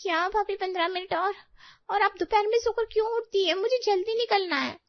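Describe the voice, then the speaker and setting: A woman voicing an impatient, demanding young college girl in a family story, hurried and whiny. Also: very high voice, no echo, lo-fi sound, pitched above the performer's own voice.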